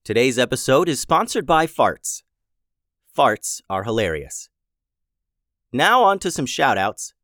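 A man's voice: mid-range voice, sing-song, measured pace, pauses often, bright, forceful tone, dry recording, studio quality. A man hosting and narrating a children's story podcast in a playful, silly manner, his voice a little scratchy.

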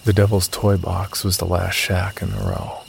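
A man with a low, creaky voice narrates a scripted horror story in the first person. His storytelling is measured, with clear night insect noise behind him. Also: level tone.

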